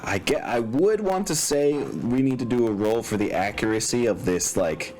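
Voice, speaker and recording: A man's voice: medium pitch, expressive, moderate pace, a little mellow. A man acting as game master, talking casually through rules and narration as he thinks aloud in a rambling way.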